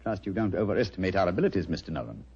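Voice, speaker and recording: An actor playing a keen, composed English gentleman detective, precise and formal, in an old-time radio mystery. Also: low voice, very animated, racing, slightly rough, heavily acted. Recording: muffled old radio recording, hiss.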